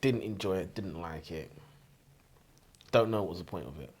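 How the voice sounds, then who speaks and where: A man's voice: low voice, normal speed, darkish tone, breathy edge. A man co-hosting a book podcast in casual chat, blunt and slangy, repeating points for emphasis. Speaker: book review podcast co-host. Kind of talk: casual opinionated book discussion.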